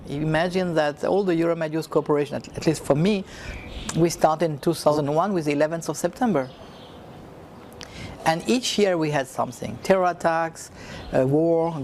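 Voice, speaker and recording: Male program coordinator, reflective and halting delivery, somewhat high-pitched, faint noise in the recording. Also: darkish tone. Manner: halting, non-native phrasing with many fillers. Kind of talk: reflective speech.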